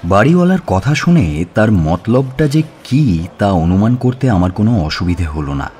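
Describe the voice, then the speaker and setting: An actor playing an authoritative police inspector who narrates an audio story in a measured, deliberate way. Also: male voice, fairly low pitch, very animated, darkish tone. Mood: measured recounting. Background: music.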